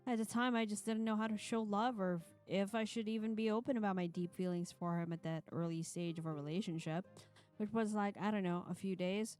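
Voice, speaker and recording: A woman's voice: mid-range voice, steady tone, brisk, a little mellow, clear, resonant tone. A woman on a live stream, sounding a little tired as she reads a game story aloud and banters with co-streamers. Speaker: streamer reading a visual novel aloud with co-streamers. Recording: music behind the voice.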